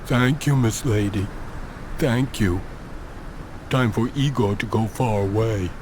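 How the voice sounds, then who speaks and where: A man's voice: fairly low pitch, takes it slowly, background noise. An actor playing a superstitious, simple-minded and pitiful man in a video game, pleading in broken English. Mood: meek relief.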